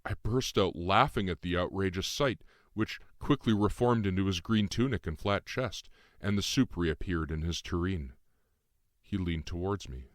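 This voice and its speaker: A man's voice: low voice, moderate pace, no echo, clean studio sound. A man reading his own novel aloud as scripted, first-person audiobook narration.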